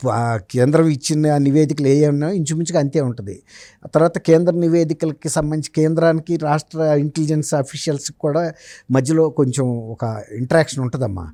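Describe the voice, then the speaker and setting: A man speaks as a political commentator in a conversational interview style. His voice sits a little high yet is very mellow, recorded close and dry. He explains his points emphatically, often through rhetorical questions. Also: fairly even intonation, a little fast, dry recording. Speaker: journalist giving political analysis as interview guest.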